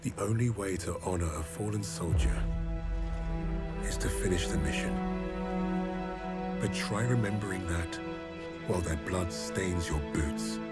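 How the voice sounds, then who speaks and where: An actor playing a world-weary commando leader, narrating his squad's story in a measured, wry way. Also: deep voice, over loud music, natural voice. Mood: somber, reflective. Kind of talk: scripted cinematic narration. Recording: loud cinematic score behind.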